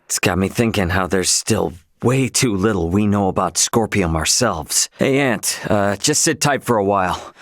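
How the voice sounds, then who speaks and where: An actor playing a brash, hot-headed but loyal young starship captain in a video game, with a blunt, cocky, slangy delivery. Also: male voice, lowish voice, normal speed, few pauses, dry recording, clean studio sound, lightly acted. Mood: pensive, casual. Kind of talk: voiced video game character lines.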